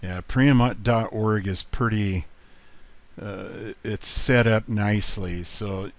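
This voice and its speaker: A man giving a casual, rambling walkthrough as a hobby video host, halting often as he thinks aloud. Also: on the low side, fairly even intonation. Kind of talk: casual screen walkthrough commentary.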